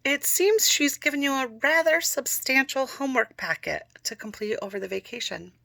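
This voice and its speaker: A woman's voice: high voice, moderate pace, gravelly, bright, forceful tone, pitched above the performer's own voice, exaggerated voice. A woman voicing a serious middle-aged father laying down family rules in a read-aloud story.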